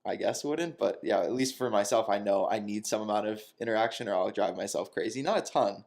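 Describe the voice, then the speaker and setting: A man's voice: on the low side, fairly quick, creaky. A man in his twenties chatting casually and thoughtfully on a podcast about moving to a new city. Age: 20s. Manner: relaxed, reflective, with some restarts and laughs. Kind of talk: casual chat.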